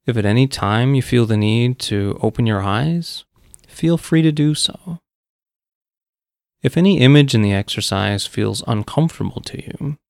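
Male meditation coach, calm and measured, dry close studio sound, lots of vocal fry. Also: mid-range voice, no echo. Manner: calm, measured, long pauses between phrases. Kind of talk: guided meditation, slow soothing instruction.

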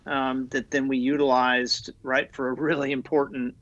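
A man's voice: medium pitch, flat delivery, moderate pace, fairly bright tone, lo-fi sound. A man talking as an Army guest on a podcast, eager and loose, with many fillers and restarts. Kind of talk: conversational podcast interview.

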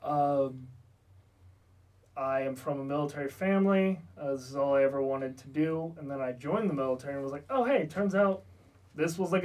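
A young man talks as a guest, joking and poking fun at himself, quick to laugh. His voice sits a little high for a man. He sounds set back a bit from the microphone. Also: recorded from a distance. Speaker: guest, Army Russian linguist. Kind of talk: casual interview chat.

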